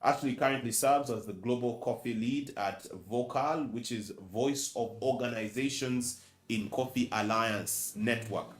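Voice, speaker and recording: A man from Uganda with a Luganda-influenced accent, moderating a press conference and formally introducing panelists with a measured delivery and some fillers. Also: mid-range voice, roomy sound. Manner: measured, reads bios with fillers.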